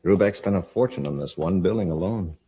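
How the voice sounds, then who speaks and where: A man's voice: low-pitched, fairly quick, slightly rough. An actor playing a decisive, inquisitive male space patrol commander in a 1950s radio serial. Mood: curious, assured. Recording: muffled old radio recording.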